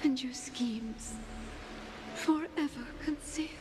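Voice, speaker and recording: An actress voicing a tender, grieving mother in a video game, speaking in a halting, breathy, reverent half-whisper. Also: high voice, background noise. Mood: tender, solemn. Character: tender grieving mother blessing her newborn son. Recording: game audio with loud music.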